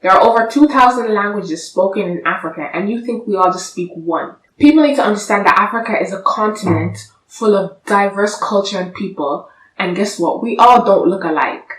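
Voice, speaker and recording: A woman's voice: medium pitch, moderate pace. Young woman lecturing the camera, kinda sassy and blunt, echoey room, mic a bit far off. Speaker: vlogger. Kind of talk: direct-to-camera vlog. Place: room indoors, seated on a sofa. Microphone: camera at a distance.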